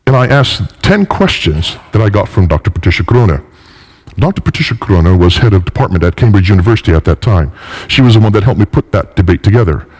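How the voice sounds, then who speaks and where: A man in middle age delivers an animated, argumentative lecture. His pitch swings widely as he drives points home, posing rhetorical questions and repeating phrases for emphasis. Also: fairly quick. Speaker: lecturer in religious history.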